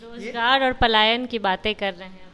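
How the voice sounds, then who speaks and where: A woman's voice: fairly high pitch, normal speed, bright, forceful tone, smooth. A woman working as a reporter, putting questions in an interview. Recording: noisy, echoing hall-like space.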